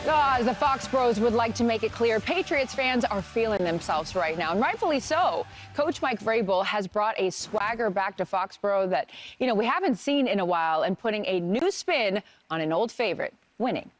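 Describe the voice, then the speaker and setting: A woman's voice: mid-range voice, animated. Woman hosting a live football pregame. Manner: quick, teasing banter. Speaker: pregame show host. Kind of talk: live studio sports talk.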